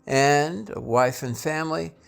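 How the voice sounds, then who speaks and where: A man's voice: a little high, measured pace, gravelly, clear, resonant tone, soft background music. A man voicing a selfish old male miser in a storybook reading, grumpy, curt and scornful. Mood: grumpy, indignant.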